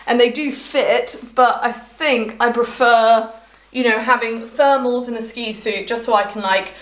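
A woman's voice: on the high side, steady tone, unhurried, a bit bright. A young woman chatting casually and enthusiastically through a clothing try-on at home, often trailing off mid-thought. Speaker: fashion vlogger. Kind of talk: casual try-on haul chat. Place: hallway at home, indoors. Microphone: camera across the room. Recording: echoey hallway, faint hiss.

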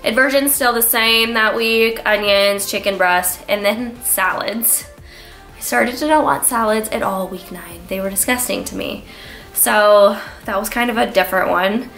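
A young woman with a blocked, stuffy nose talks casually to a camera in a small room indoors. She rambles in an easy, chatty way and scatters "like" through her sentences, while faint music sits low in the background. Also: a little slow, a bit bright. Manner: chatty, lots of filler "like". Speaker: vlogger. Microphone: camera on a tripod, framed from the waist up.

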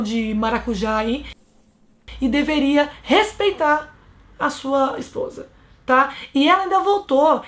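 A woman in her thirties from Brazil, giving blunt, scolding relationship advice straight to camera from a small bedroom. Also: high voice. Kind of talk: casual advice monologue to camera.

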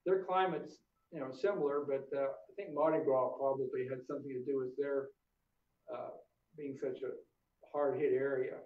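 An older man, a family doctor, speaking in a relaxed, optimistic way with many filler uhs. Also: medium pitch, moderate pace. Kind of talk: casual video interview. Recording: echoey, distant, phone-like audio.

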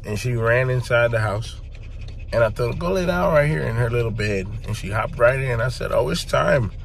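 A man, chatting casually to viewers about his dog while sick and losing his voice, clearing his throat often. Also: medium pitch, lively intonation, moderate pace, slight background noise. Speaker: dog breeder vlogger. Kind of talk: casual vlog to camera. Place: parked car. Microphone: phone held at arm's length.

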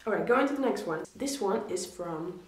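A woman's voice: mid-range voice, animated, moderate pace, reverberant. A woman in her twenties with a Polish accent, talking casually to camera as she gives friendly, encouraging art critiques, leaning hard on the word "really.". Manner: chatty, encouraging, repeats "really". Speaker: art YouTuber reviewing viewer drawings. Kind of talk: casual instructional talk to camera. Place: small indoor room against a plain wall.

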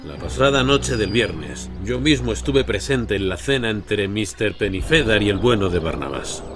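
An actor playing a courteous, outwardly pained village elder who speaks formally and soothingly. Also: male voice, fairly low pitch, lively intonation, normal speed, over music, lightly acted. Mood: sorrowful, calming. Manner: formal, appeasing.

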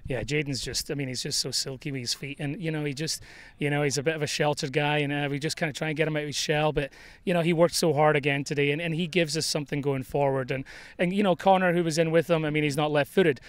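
A middle-aged man, a soccer coach, talks quickly and openly after a match, with plenty of fillers and a fairly high voice. He speaks outdoors on a grass field into a handheld mic, and the sound is dry with no echo. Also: fairly even intonation. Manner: quick, candid, conversational with many fillers. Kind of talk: postgame interview. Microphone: handheld mic held by interviewer.

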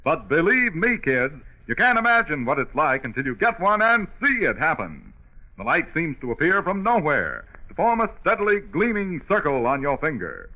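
A man's voice: fairly high pitch, wildly swooping pitch, moderate pace, pushed and bright. An American man delivering an enthusiastic vintage radio commercial aimed at children. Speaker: commercial announcer. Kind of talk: 1940s radio ad pitch. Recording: dull old radio broadcast recording.

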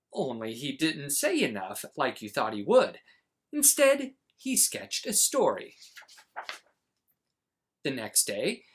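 A man in his forties reading a children's storybook aloud, with dramatic, exaggerated emphasis and pauses. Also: fairly high pitch, a bit bright, slightly rough. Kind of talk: animated storybook read-aloud.

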